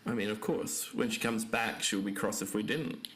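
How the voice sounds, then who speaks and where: A man's voice: on the low side, moderate pace, fairly bright tone, natural voice. A man playing a stressed, stubborn podcaster looking for his missing sister, in naturalistic audio drama style. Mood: tense, defensive.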